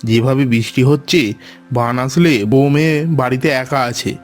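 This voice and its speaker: Guy playing a calm worried dad from a village, plain mid voice, cartoon. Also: medium pitch, unhurried, faint music behind, close to an everyday voice. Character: poor village father, gentle and worried. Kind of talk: cartoon voice acting.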